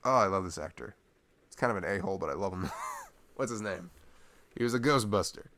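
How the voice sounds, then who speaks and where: A man's voice: low-pitched, animated, a little fast, fairly bright tone, a little hiss or hum. A man chatting informally and intimately as a boyfriend in an ASMR roleplay, rambling and ranting. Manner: informal ranting, breaking into chuckles and sighs. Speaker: audio roleplay performer playing a boyfriend.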